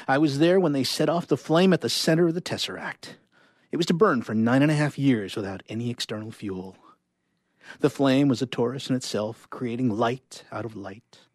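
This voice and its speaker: A man performing a live reading of short stories, with expressive delivery and casual asides. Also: mid-range voice, husky, no room sound, clean studio sound.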